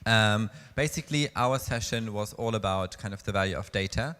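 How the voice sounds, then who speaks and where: A man with a low voice reports his session's results at a conference. He works through the points from his notes. The sound carries a long hall reverb. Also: normal speed, fairly bright tone, clean tone. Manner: summarizing from notes. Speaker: session coordinator presenting results. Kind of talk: conference presentation summary.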